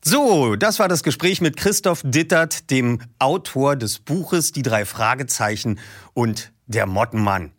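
A man hosting a podcast, wrapping up and making announcements in a relaxed, chummy way. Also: mid-range voice, unhurried, dry recording, clean studio sound. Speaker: podcast host. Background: music.

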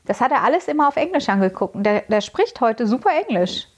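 A woman's voice: a little high, very animated, quick pace, slightly rough. A woman whose first language is Azerbaijani, a cabin chief and recruiting expert, chatting casually on a podcast and rambling with many fillers.

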